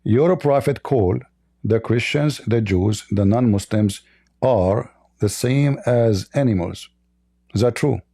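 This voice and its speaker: Gravelly Arabic-accented man, combative host. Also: medium pitch, takes it slowly, dark tone, no echo, studio quality. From Middle East. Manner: confrontational, rhetorical questions, sarcastic. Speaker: host of a call-in debate show. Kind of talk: combative call-in debate.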